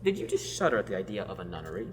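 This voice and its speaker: A man's voice: low-pitched, huge pitch swings, racing, slight background noise. A young man in a student radio drama, playing a self-assured, pious knight who bosses others around. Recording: long reverb.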